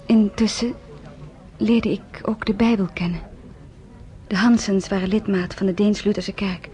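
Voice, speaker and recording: A woman's voice: medium pitch, steady tone, measured pace, dark tone, close to an everyday voice. A woman playing an earnest teenage girl. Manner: earnest, hesitant, stumbling over words. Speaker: radio drama actress. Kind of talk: radio play narration and acting.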